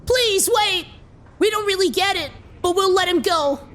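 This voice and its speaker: A man's voice: very high voice, moderate pace, pushed and bright. A man voicing a panicky, small-time bandit who stammers and pleads, in an anime fandub style. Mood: panicked pleading.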